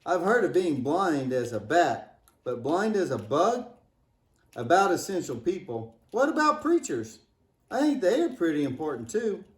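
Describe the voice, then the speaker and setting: Man as wisecracking kid puppet for church show: highish voice, playful exclamations, echoey room. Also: sing-song, unhurried. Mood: curious, joking. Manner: playful, joking, exaggerated exclamations. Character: Michael, cheeky, wisecracking, imaginative boy puppet.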